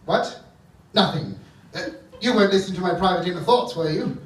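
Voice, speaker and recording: In an echoey theatre, far from the mic, a man hams up a bumbling evil professor rants in theatrical bluster. The voice sits high and leaps about wildly, petulant and exasperated, as in a comic stage serial. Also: a little fast, close to an everyday voice. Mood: petulant scheming. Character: hammy bumbling male mad scientist villain, petulant and blustering. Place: theatre stage before a live audience.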